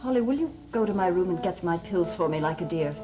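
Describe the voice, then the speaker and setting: A woman's voice: fairly low pitch, expressive, fast talker, mellow, faint music behind, natural voice. An actress playing a fearful, accusing mother in a gothic soap opera, fretful and melodramatic. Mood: fearful, pleading.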